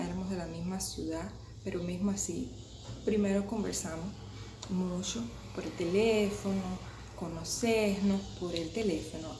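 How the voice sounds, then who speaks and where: A Cuban woman in her thirties with a Cuban Spanish accent, talking casually to her viewers with many restarts and hesitations. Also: medium pitch. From Cuba. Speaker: vlogger. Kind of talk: casual talk to camera. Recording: echoey room with background noise.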